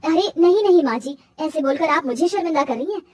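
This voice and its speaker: A woman's voice: sits high, lively intonation, fast talker, darkish tone. A woman voicing a meek, hardworking young daughter-in-law in a cartoon story, polite and weary. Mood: humble. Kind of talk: cartoon moral-story acting.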